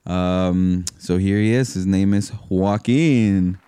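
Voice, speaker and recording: Guy hosting a podcast, low smooth voice, casual slangy chat, very dry sound. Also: takes it slowly, mellow, no echo. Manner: informal, slangy. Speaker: podcast host.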